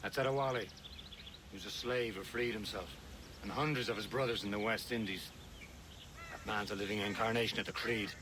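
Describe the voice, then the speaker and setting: A man voices a confident trainer who teases and pushes his pupil. His voice sits a bit low, with rural outdoor ambience behind. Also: steady tone, brisk, pauses often, background noise, close to an everyday voice. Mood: teasing. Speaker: game character. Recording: game audio with outdoor ambience.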